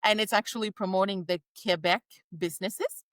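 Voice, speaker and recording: A woman's voice: medium pitch, fairly even intonation, a little fast, fairly bright tone, dry recording. A woman who is a native Spanish speaker, chatting enthusiastically in a casual interview as the guest. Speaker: podcast guest, scientist and children's book author. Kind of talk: casual video interview chat.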